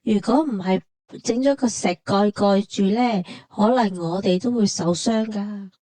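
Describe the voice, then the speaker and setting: A woman's voice: medium pitch, unhurried, mellow, no room sound. A woman with a Hong Kong Cantonese accent narrating a travel vlog conversationally, explaining things like a storyteller.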